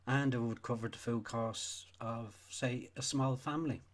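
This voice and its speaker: A man's voice: mid-range voice, steady tone, normal speed. A man with an Irish accent delivering an unscripted, opinionated rant as a stream host, blunt and sweary, repeating phrases for emphasis. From Ireland. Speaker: livestream host.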